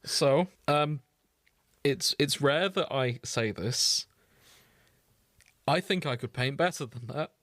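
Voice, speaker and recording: A man's voice: mid-range voice, takes it slowly, long pauses, fairly bright tone, no room sound. Male podcast co-host, droll and understated, casual conversation, close dry recording. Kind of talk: casual chat.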